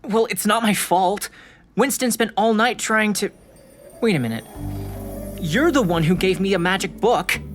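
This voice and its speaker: An actor playing an earnest, bookish and plucky schoolboy in an audio drama. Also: male voice, high-pitched, animated, normal speed, long pauses, fairly bright tone, faint music behind. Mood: protesting.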